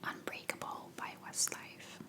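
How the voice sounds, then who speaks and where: A young woman from Belgium with an American accent, whispering answers to viewer questions in a rambling ASMR Q&A at home on a sensitive microphone. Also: a little slow. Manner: soft unvoiced whisper, rambling with fillers. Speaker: ASMR creator. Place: home living room.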